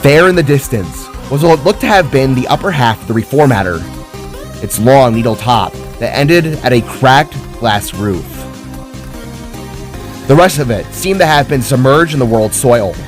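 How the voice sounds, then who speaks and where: A man's voice: mid-range voice, lively intonation, normal speed. A man reading scripted fantasy narration in a dramatic storytelling style. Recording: music behind the voice. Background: music.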